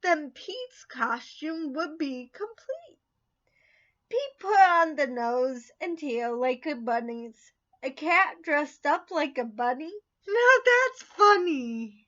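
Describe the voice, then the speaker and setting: A woman reading a children's picture book aloud in an expressive, sing-song way. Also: sits high, slow pace, muffled recording. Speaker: storybook reader.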